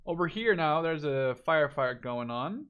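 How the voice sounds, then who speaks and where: A man's voice: a little high, lively intonation, normal speed, smooth, phone-quality sound. A man giving casual live commentary on a video game match, thinking aloud as he watches.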